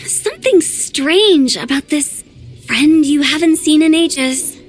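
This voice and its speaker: High, slow, sly trickster girl, teasing. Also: lively intonation, faint music behind. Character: playful young woman, teasing and mischievous. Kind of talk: game voice acting.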